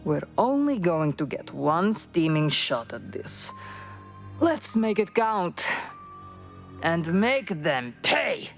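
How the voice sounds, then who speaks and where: An actress playing a cold, commanding, vengeful woman who leads a criminal organization in a video game, speaking deliberately. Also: fairly low pitch, very animated, faint music behind, heavily acted. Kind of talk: scripted video game cutscene acting.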